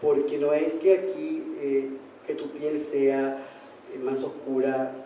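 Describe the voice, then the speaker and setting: A man from Paraguay gives reflective academic panel remarks in a flat, very dark voice. He sounds distant and echoey, picked up from far off in a large hall with faint noise. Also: medium pitch. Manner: reflective, academic, with filler pauses. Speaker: anthropologist panelist. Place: large hall, book presentation.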